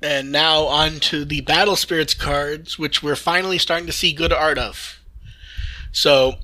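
Bright, forceful man, higher-pitched, chatting casually. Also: steady tone, a little slow, poor audio. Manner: enthusiastic, rambling, occasionally swearing. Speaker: podcast host. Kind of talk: casual fan news discussion.

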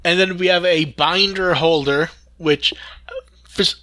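Male podcast host, very bright forceful voice, rambling fan enthusiasm. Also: high-pitched, steady tone, unhurried. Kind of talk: casual fan news chat.

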